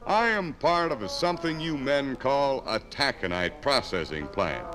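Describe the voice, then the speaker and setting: An American actor playing a cheerful, chatty particle of pure iron in a theatrical 1950s radio dramatization. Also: male voice, mid-range voice, expressive, normal speed, fairly bright tone, close to an everyday voice. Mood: gleeful, chatty. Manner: exuberant, theatrical, folksy. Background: background music.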